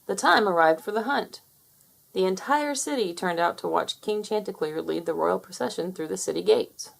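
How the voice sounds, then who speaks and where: A woman reading a children's picture book aloud in an expressive storytelling manner. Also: mid-range voice, normal speed, vocal fry. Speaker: storybook reader. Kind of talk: children's read-aloud narration.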